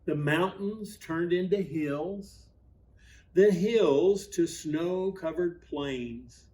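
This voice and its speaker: A man reading a children's Christmas storybook aloud in an expressive, measured way, with deliberate pauses and emphasis. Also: on the high side. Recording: voice at a distance from mic.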